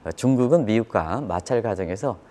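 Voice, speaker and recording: A man's voice: on the low side, animated, fairly quick, mellow, slight background noise. A man giving live financial news commentary, explaining step by step, repeating key terms and putting rhetorical questions to viewers. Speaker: economic commentator.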